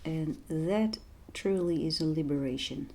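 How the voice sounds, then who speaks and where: Slow, soft-spoken hoarse woman. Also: low-pitched. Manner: soft-spoken, unhurried, drifting into quiet near-whispers. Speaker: art tutorial host and voice teacher. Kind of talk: calm painting tutorial narration.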